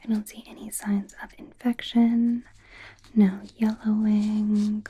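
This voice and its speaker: A woman voicing an awkward, gentle werewolf doctor in a hesitant, breathy whisper for an ASMR roleplay. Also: medium pitch, little pitch movement. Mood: gently clinical. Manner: hesitant, halting, softly reassuring. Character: awkward, curious young female werewolf doctor, gentle and apologetic. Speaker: ASMR performer.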